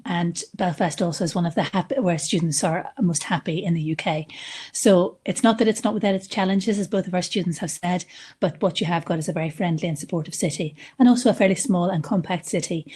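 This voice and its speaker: Husky woman hosting a webinar. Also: fairly low pitch, darkish tone. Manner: hesitant, many restarts. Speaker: webinar host.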